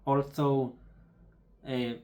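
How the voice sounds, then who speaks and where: A young man whose first language is Spanish, speaking in a halting, subdued way with many restarts as he searches for words. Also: medium pitch, level tone, mellow. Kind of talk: personal video update.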